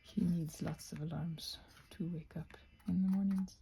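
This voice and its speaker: Female ASMR craft host, scattered and chatty, soft dark tone with lots of fry, breathy and often near a whisper. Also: lowish voice, steady tone, a little slow, slight background noise. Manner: scattered, thinking aloud to herself. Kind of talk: rambling ASMR craft chat while painting.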